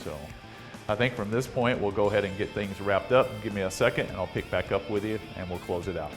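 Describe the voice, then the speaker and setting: A man hosting a repair video, talking in a casual, unhurried, conversational way as he demonstrates equipment. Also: on the low side, over music. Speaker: host of electronics repair videos. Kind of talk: casual product demonstration talk.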